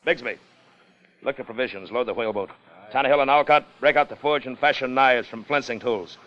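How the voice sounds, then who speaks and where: An actor in a 1950s radio drama playing a hard, greedy ship's officer, commanding, with old-fashioned seafaring speech. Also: male voice, medium pitch, brisk, lo-fi sound, lightly acted. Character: hard, scheming, greedy sailing-ship officer leading a treasure hunt. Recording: 1950 radio broadcast with music underneath.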